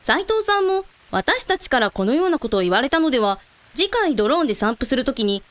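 A breezy, self-assured woman voiced by an actress in a radio drama. She teases and takes charge in a high-pitched, very lively voice. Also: brisk. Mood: assured, persuasive. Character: breezy, confident, teasing woman taking charge.

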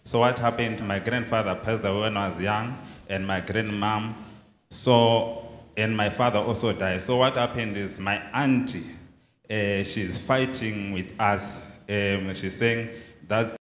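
A young man with a Zimbabwean accent giving a halting personal testimony as a congregant. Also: fairly low pitch, fairly even intonation, a bit bright. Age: young adult. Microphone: handheld mic held to his mouth. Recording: echoey hall with background noise.

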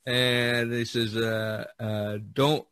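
A man's voice: mid-range voice, flat delivery, extremely slow, a bit bright, clean tone, no room sound, studio quality. A middle-aged man hosting a podcast, chatting casually in a rambling, jokey way over an online call. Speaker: podcast host. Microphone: online video call.

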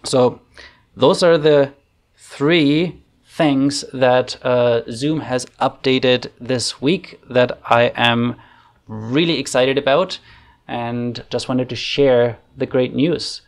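A man in his thirties with a German accent, chatting casually through a screen-share software tutorial from a room at home into a desk microphone, thinking aloud with fillers. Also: mid-range voice, takes it slowly. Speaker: online facilitation trainer. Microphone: desk microphone on arm.

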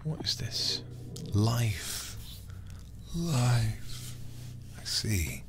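A man doing hushed ASMR game commentary as a livestreamer, murmuring softly and often whispering close to the microphone. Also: mid-range voice, big pitch swings, extremely slow, background noise. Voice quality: soft, breathy, often whispered. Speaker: livestreamer playing a horror game.

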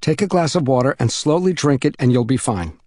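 A man voicing a calm, motherly housewife who patiently and gently reassures a child in an audiobook story. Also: mid-range voice, fairly quick, no echo, natural voice. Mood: reassuring.

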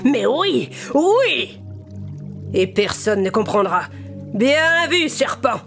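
A woman's voice: sits high, big pitch swings, slow, gravelly, a bit bright, soft background music, exaggerated voice. A woman voicing a scheming sea witch in a cartoon, gloating and theatrical, with cackling laughs. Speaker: voice actress. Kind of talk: cartoon voice acting.

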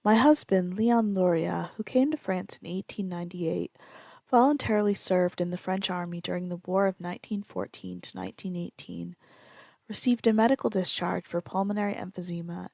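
A woman reads a formal letter aloud with measured, careful delivery. Her voice sits low and has a gravelly edge. The sound is dry and close. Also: darkish tone, no room sound. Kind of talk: reading a historical letter aloud.

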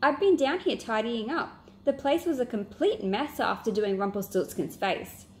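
Storybook girl woman reader performing, caring and chatty, slightly high, faint noise in background. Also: lively intonation, normal speed, natural voice. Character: observant, caring girl in a child detective club.

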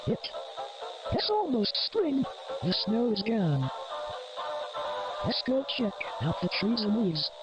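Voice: medium pitch, big pitch swings, takes it slowly, pauses often. A performer voicing a cheerful blue gorilla in a children's cartoon, sing-song and childishly enthusiastic, in a lo-fi clip. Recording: dull, lo-fi clip with music. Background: music.

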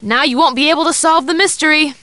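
A woman's voice: high voice, quick pace, bright, forceful tone, dry recording, studio quality. A woman acting a pert, teasing, wisecracking young female friend in a video game. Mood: disappointed, chiding.

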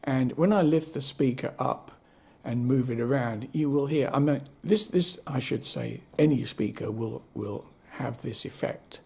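A man in his seventies giving a casual, unscripted review with wry understatement, in a husky voice. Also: mid-range voice, unhurried, few pauses, dark tone. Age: 70s. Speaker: audio equipment reviewer. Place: indoor room at home.

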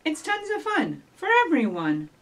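A middle-aged woman hosting a children's storytime, giving simple instructions and reading rhymes to young children in an animated way, recorded by a camera a few feet away. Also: sits high, moderate pace. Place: small indoor office or library room with block walls. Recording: distant camera microphone.

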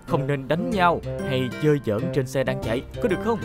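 Caring cartoon safety instructor: low, lively intonation, didactic dub, loud music underneath. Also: female voice, brisk. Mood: instructive. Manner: clear explaining, didactic. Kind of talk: instructive children's cartoon dialogue.

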